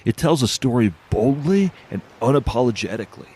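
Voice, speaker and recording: A man's voice: mid-range voice, expressive, fairly quick, warm tone, breathy edge. A man playing a laid-back stoner food truck owner who rambles grandly and philosophically in an audio drama. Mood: mock-profound. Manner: rambling, grandiose, mock-profound. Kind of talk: audio drama character acting.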